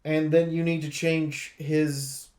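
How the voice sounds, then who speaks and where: A man with a fairly high voice hosts a game preview, talking off the cuff. He is blunt and emphatic, laying out complaints in an aggrieved way. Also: little pitch movement, slow pace, a little mellow. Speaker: video game preview host. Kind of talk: unscripted game review commentary.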